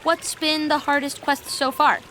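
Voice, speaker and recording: A woman's voice: sits high, level tone, measured pace, sharp tone, slight background noise. A woman playing a curious, easily amazed young friend in an audio drama who asks question after question.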